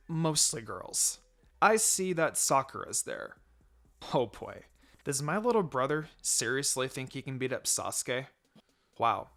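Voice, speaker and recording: A person reading fan fiction aloud as dramatic first-person narration, acting out the dialogue expressively. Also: mid-range voice. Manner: expressive, acts out character lines.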